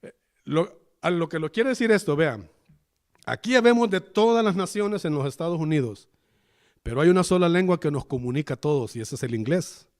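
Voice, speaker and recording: Salvadoran preacher with a high voice. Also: male voice, animated, brisk. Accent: Salvadoran Spanish. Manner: chatty, keeps calling the listeners "hermano". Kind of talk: conversational sermon. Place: church.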